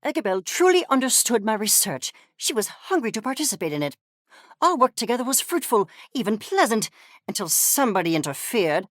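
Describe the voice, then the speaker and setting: A woman's voice: a little high, big pitch swings, brisk, dry recording, clean studio sound. A woman voicing a pompous, obsessive fuzzy creature scientist in a video game, coldly curious and disdainful, with precise, haughty, clipped academic diction. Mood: reflective. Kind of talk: video game character voice lines.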